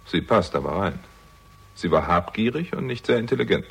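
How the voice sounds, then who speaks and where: Husky, animated, shrewd young sleuth. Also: male voice, deep voice, normal speed, exaggerated voice. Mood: insistent, wry. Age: young adult. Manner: pointed, probing, wry. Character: shrewd, persistent young amateur sleuth confronting a suspect. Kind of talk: 1960s German radio crime drama acting.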